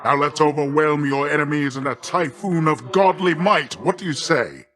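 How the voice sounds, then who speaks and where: A man's voice: fairly high pitch, moderate pace, a bit bright, lightly acted. A man playing a boisterous, boastful sea god uncle. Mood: confident, chummy. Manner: grandiose, jovial, theatrical delivery with archaic flourishes. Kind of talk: video game character voice lines.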